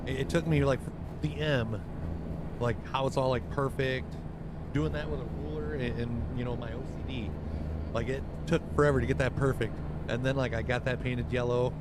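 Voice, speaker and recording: A man's voice: mid-range voice, fairly even intonation, normal speed, very noisy. A man hosting a live stream while driving in a truck game, chatting casually with blunt talk and lots of fillers. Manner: rambling, filler-heavy, blunt.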